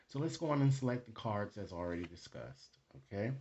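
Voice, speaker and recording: A man hosting a card reading, speaking to viewers in deliberate, formal phrasing and often checking in with a short tag question. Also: fairly low pitch, expressive, darkish tone, lo-fi sound. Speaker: tarot card reader and channel host.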